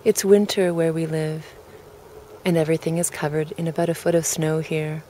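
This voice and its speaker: A woman leading a guided relaxation in a calm, gentle way, with deliberate, pausing phrases. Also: sits low, slight background noise. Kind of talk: guided meditation, soft spoken invitation.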